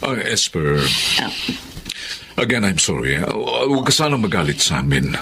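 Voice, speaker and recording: Emphatic filipino boss, lowish and animated, bit of noise, radio drama. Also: male voice, normal speed, gravelly, full character voice. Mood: apologetic, dismayed. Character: upright male gas station boss, apologetic, emphatic.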